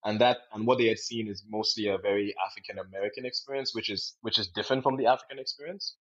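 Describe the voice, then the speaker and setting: A young man from Nigeria with a fairly low, raspy-edged voice co-hosts a casual discussion. He tends to restate his phrases as he thinks them through. The recording is a little dull and lo-fi. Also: steady tone, fast talker, fairly bright tone. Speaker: podcast co-host. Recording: dull, lo-fi sound.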